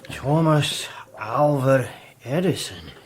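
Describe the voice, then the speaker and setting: A man's voice: mid-range voice, sing-song, slow, noisy background. An actor playing a plain-spoken, wry male assistant to a Victorian scientist in a radio drama. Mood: curious, wry.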